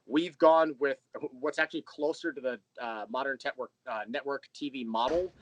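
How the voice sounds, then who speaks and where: A man's voice: mid-range voice, moderate pace, slight background noise. A man speaking casually as an interview guest, explaining his creative work.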